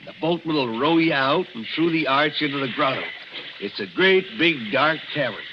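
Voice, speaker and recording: A man's voice: on the high side, animated, lightly acted. An actor playing a courteous, folksy older American ex-cowpuncher turned oilman in a 1940s radio drama. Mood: genial. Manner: folksy, halting with courtly address. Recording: muffled, noisy 1940s radio recording.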